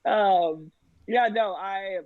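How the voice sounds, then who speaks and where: A woman's voice: mid-range voice, very slow, no echo. Casting: woman guest, chatty and rambling, dry close sound, slightly muffled. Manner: chatty, many fillers and restarts, laughs often. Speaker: podcast guest. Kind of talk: casual chat.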